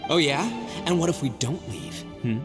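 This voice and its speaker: A man's voice: mid-range voice, animated, moderate pace, music in the background, natural voice. A man voicing a brash, hotheaded young gang boss with a cocky, blustering delivery in an anime video game. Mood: cocky challenge.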